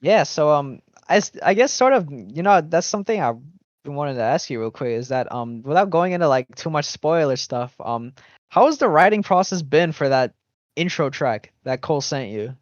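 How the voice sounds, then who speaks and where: Young man, slightly high voice, rambling casual co-host, dull dead-dry recording. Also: lively intonation, normal speed, dry recording. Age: young adult. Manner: rambling, many "like" fillers. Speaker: music collaborator and co-host. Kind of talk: casual podcast chat.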